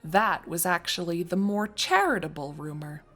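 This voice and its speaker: A woman's voice: lowish voice, normal speed, a bit bright, soft background music. A woman narrating a scripted fan fiction story as a storyteller.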